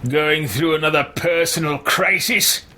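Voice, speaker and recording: A man voicing a haughty, dry-witted male mage in a video game roleplay, speaking deliberately. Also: on the high side, bright, forceful tone, slight background noise. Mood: dry, inquisitive.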